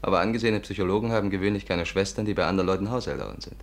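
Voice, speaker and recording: An actor playing an assured, matter-of-fact sidekick in a 1950s German radio play, with a brisk manner. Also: male voice, low voice, steady tone. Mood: urgent, matter-of-fact.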